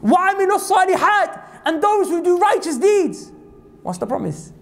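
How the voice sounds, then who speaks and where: A man from Pakistan delivering an impassioned sermon as a preacher, reciting scripture quickly and climbing to high emphatic peaks. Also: sing-song, gravelly. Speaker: Islamic preacher.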